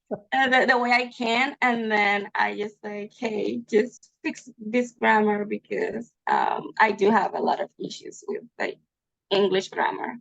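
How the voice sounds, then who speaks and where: A native Spanish-speaking woman with a Spanish accent, chatting casually in an online class. She speaks slowly with plenty of creak, over slightly dull call audio. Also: mid-range voice, no echo. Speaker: student. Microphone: video call mic.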